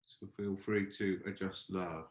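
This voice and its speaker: A man with a fairly low voice guides a meditation in a roomy, echoing space. He speaks as a teacher, gently and without hurry. His phrases are exploratory, with pauses between them. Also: fairly even intonation.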